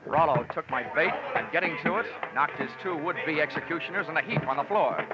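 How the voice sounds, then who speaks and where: An American man playing a wisecracking, hard-boiled insurance investigator in 1940s radio detective style, wry and deadpan. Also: fairly high pitch, a little fast, lightly acted. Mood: brisk, wry. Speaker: lead actor and narrator. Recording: dull old radio recording with music.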